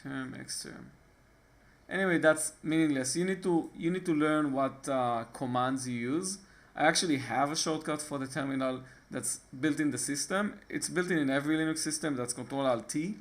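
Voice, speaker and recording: A man from Israel with an Israeli accent, talking casually and haltingly through a screen-recorded computer tutorial, often pausing on fillers and restarting his sentences. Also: on the high side. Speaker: tutorial host.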